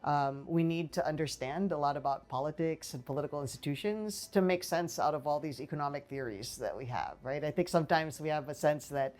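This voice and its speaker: A woman's voice: low-pitched, brisk, hardly any pauses, darkish tone. A woman in her forties from the Philippines, a political science professor answering interview questions in a small office on a lapel mic, thoughtful and full of fillers and restarts. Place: small office with bookshelves, indoors.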